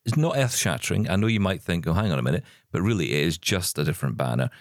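A man's voice: low-pitched, lively intonation, fast, dry recording, clean studio sound. A man co-hosting a podcast, chatting in an upbeat, rambling way. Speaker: podcast co-host. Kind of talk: casual podcast chat.

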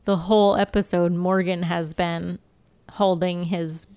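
A woman's voice: lowish voice, flat delivery, measured pace, dark tone, smooth. A woman co-hosting a podcast, recapping TV in a casual, wry, sweary storytelling way. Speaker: podcast co-host. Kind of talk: casual TV episode recap chat.

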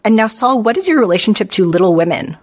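Podcast host, mid-pitched, friendly, upbeat. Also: lively intonation. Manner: bright, friendly, quick to laugh. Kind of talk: podcast hosting and chat.

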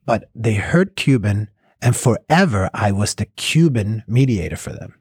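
A man's voice: fairly low pitch, expressive, unhurried, a little mellow, husky, no echo, studio quality. A middle-aged man from the United States, thoughtfully reflecting in an interview. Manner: thoughtful, reflective, with small restarts. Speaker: cross-cultural mediator. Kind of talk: interview answers.

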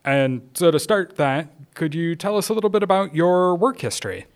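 A man hosting a local interview podcast, asking relaxed, informal questions. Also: fairly high pitch, moderate pace. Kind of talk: casual interview.

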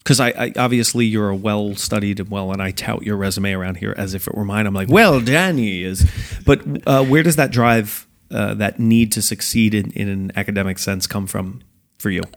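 A man's voice: on the low side, normal speed. A man hosting a podcast interview, joking and teasing his guest in playful banter. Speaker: podcast host. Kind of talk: casual interview chat.

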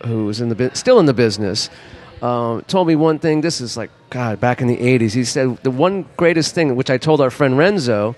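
A man's voice: mid-range voice, lively intonation, fairly quick, hardly any pauses, darkish tone. A man in his fifties from Los Angeles, co-hosting a podcast in casual, rambling chat that keeps restarting its sentences. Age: 50s. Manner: rambling, self-interrupting storyteller. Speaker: podcast co-host.

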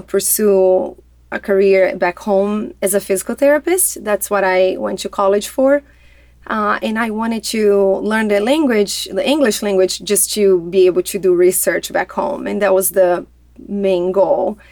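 A woman from Brazil with a Brazilian Portuguese accent, chatting in a relaxed way as a podcast guest. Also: medium pitch, unhurried, no room sound. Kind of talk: interview conversation.

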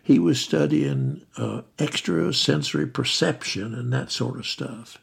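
A man voicing a loud, boisterous male hiking buddy who talks at full phone volume, with a gravelly, husky voice. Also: measured pace, exaggerated voice. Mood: confiding. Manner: booming, boisterous.

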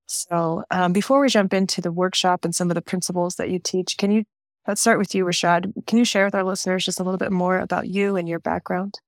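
A woman's voice: fairly low pitch, steady tone, fairly quick, no echo, clean studio sound. A woman hosting a podcast, introducing her guests in a friendly, conversational way. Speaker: podcast host.

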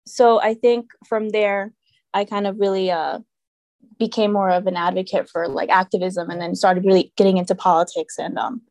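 A woman's voice: mid-range voice, darkish tone, no echo. A young woman from Connecticut, talking as a podcast guest in a chatty way, full of fillers and hedges. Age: young adult. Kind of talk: casual podcast interview.

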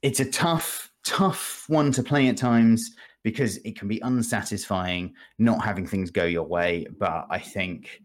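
A man with a voice a touch on the low side talks as a guest on a casual video game podcast. He is chatty and jokey, explaining his points in loose, rambling runs. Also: a little slow. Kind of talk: casual gaming podcast chat.

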